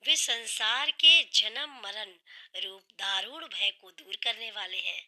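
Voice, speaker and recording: A woman with a sore, hoarse throat, earnestly explaining a devotional hymn line by line and patiently restating each meaning. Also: mid-range voice, takes it slowly, sharp tone. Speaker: devotional singer and channel host.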